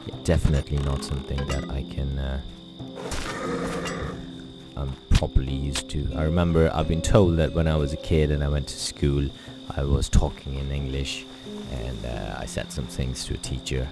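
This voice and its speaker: A man from Pakistan whose first language is Urdu, living in England, chatting casually with many fillers while playing a game on a poor home mic. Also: very deep voice. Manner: rambling, self-deprecating, many fillers. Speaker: gaming channel host. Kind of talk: casual let's play commentary. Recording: loud game music behind.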